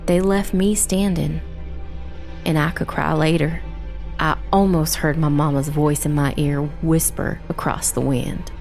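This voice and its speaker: A woman playing a young girl survivor who narrates a dark fantasy tale in the first person, measured and grave. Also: deep voice, fairly even intonation, gravelly, soft background music. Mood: grieving, resolute.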